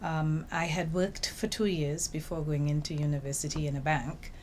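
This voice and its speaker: An older woman from Trinidad and Tobago with a Trinidadian accent, telling her story in a lively way in an interview, seated in a small office. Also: sits low, moderate pace, slight background noise. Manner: lively storytelling, acts out quoted remarks. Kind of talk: reflective interview answers.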